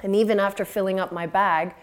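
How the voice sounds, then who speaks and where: A woman's voice: mid-range voice, steady tone, brisk. A woman in her twenties from Thailand, a podcast guest, talking in a rambling casual way with many fillers and offhand swearing. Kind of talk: casual interview.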